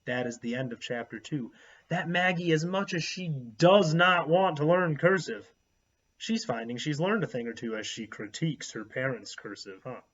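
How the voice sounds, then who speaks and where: A man reading a children's storybook aloud expressively, pausing to explain things to his listeners. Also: a little high. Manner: animated, explanatory. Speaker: read-aloud narrator, teacher. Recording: dull, lo-fi recording.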